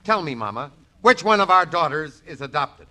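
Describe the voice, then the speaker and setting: An actor playing a wisecracking, out-of-work prime minister with vaudeville comic timing, in 1940s radio acting style. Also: male voice, a little high, sing-song, normal speed, pushed and bright, full character voice. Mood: exasperated.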